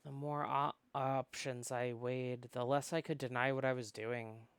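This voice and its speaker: Man reading a story aloud, creaky voice. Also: mid-range voice, level tone, a little slow, fairly bright tone, no echo. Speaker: host reading a visual novel aloud. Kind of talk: dramatic read-aloud narration.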